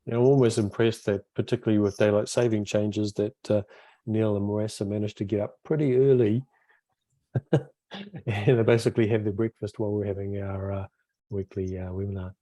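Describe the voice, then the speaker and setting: A man introducing himself on a video call, relaxed and rambling with many fillers, as the group's convenor. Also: on the low side, normal speed, no echo.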